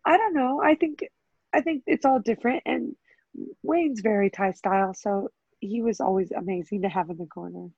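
An American woman with a big, carrying voice talks about coaching fighters in a relaxed, chatty way, and her voice jumps up when she mimics cheering from the corner. The sound is dry and a little dull. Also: mid-range voice, moderate pace, gravelly, husky, no room sound. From United States. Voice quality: very loud, carrying voice. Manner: chatty, animated, bursts into loud cheering. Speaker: Muay Thai coach. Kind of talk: casual interview answers.

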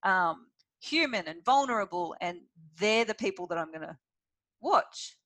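A woman hosting a casual interview chat, talking in an animated, chatty way with many fillers. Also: fairly low pitch, measured pace, no room sound. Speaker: podcast host.

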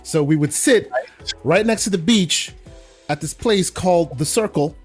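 Laid-back storytelling man, higher voice. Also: a little slow, slightly rough, soft background music. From Netherlands. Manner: relaxed storyteller, laughs often, repeats phrases. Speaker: podcast guest. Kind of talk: casual interview chat.

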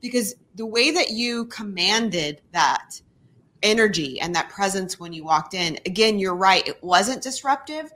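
A woman's voice: mid-range voice, expressive, unhurried, bright, forceful tone. Woman co-host, casual interview chat, enthusiastic. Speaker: podcast co-host.

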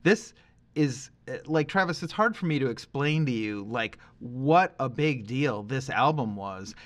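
A man's voice: medium pitch, lively intonation, unhurried, a bit bright. A man in his forties chatting casually as the co-host of a podcast. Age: 40s.

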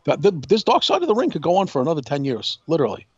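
Male podcast co-host, slightly high voice, emphatic casual chat that circles back on points, muffled lo-fi sound. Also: huge pitch swings, very fast, slightly rough, no echo. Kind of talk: casual wrestling talk.

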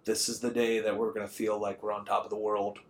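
A man's voice: on the low side, monotone, fast talker. Rambling man in casual podcast chat. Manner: rambling, conversational. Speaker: podcast co-host.

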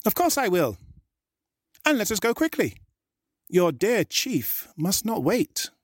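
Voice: mid-range voice, sing-song, slow, no echo, studio quality, pitched above the performer's own voice. A storyteller voicing a clever, cheeky little monkey in a children's tale. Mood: pleading, sly. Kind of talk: storybook reading.

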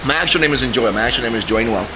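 A man's voice: medium pitch, rapid-fire, background noise. A man from Cuba speaking casually as a podcast guest, emphatic and often repeating his words for stress. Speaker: guest, bar general manager.

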